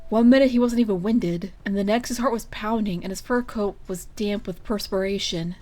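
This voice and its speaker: A woman reading a story aloud as its narrator, in an expressive scripted style. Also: medium pitch, normal speed. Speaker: fan fiction reader.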